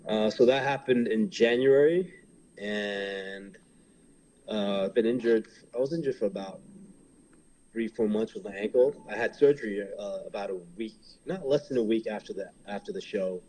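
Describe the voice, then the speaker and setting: A man's voice: fairly low pitch, moderate pace, darkish tone, a little hiss or hum, poor audio. A man in his thirties from Hong Kong, a pro wrestler, talking casually in an interview and rambling with many fillers and restarts.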